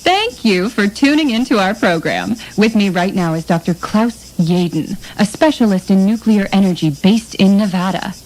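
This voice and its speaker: A woman plays a composed broadcast interviewer in an audio drama. Her pitch is in the middle range. Her delivery is formal and polished. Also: animated, normal speed, few pauses, darkish tone, close to an everyday voice. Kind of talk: acted broadcast presenting.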